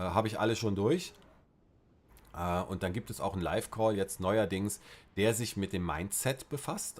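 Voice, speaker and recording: A middle-aged man with a Berlin accent chatting casually on a livestream, rambling with many fillers and restarts. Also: fairly low pitch. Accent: Berlin German. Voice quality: rambling, filler-heavy, colloquial. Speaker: livestream host.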